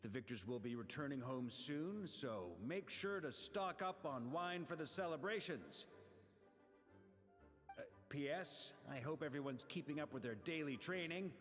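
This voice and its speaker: An actor playing a confident, easygoing knight commander in a video game, speaking with relaxed authority. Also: male voice, a little high, measured pace. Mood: jovial. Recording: music under the voice.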